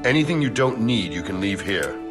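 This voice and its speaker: An actor playing an earnest, dutiful medieval soldier briefing his men in a video game, measured and instructive. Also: on the low side, big pitch swings, over music. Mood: commanding, steady. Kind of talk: dramatic video game acting.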